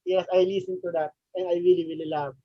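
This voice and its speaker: High-voiced man, halting questions. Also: little pitch movement, dull audio, crowd noise. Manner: halting, repeats words, simple phrasing. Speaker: interview host. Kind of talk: interview questions.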